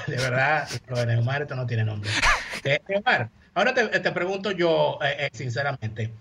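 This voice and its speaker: A man's voice: medium pitch, animated, normal speed, lo-fi sound, very noisy. A man co-hosting a talk show over a video call from abroad, casual and teasing. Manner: teasing, playful. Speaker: co-host. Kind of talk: casual radio talk, joking. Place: remote from abroad.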